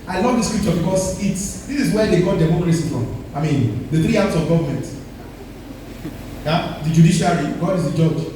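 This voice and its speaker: A man's voice: high-pitched, lively intonation, fairly quick, dark tone, heavy reverb, far from the mic, slight background noise, low-quality recording. A male pastor preaching a sermon with emphatic delivery, calling the congregation to respond.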